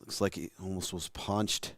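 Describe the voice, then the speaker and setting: A man with a hoarse, raspy voice giving color commentary on a youth soccer match, measured and explanatory. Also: sits low, fairly even intonation, a bit bright, no echo.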